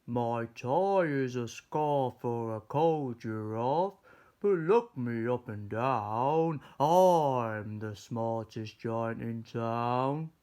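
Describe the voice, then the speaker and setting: Man playing a loud, hearty storybook giant. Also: medium pitch, extremely slow, smooth. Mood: proud. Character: kindly, proud, scruffy-turned-smart male giant.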